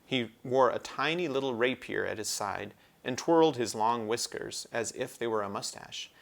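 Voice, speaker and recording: A man's voice: mid-range voice, moderate pace, vocal fry. Man reading a children's novel aloud. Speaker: storybook reader.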